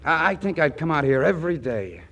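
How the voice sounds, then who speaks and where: A man's voice: a little high, big pitch swings, fairly quick. An actor playing a naive, bashful small-town young man with a hesitant stammer in a 1940s radio comedy. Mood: folksy, amused. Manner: stammering, hesitant, with goofy earnestness. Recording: noisy 1940s radio broadcast recording.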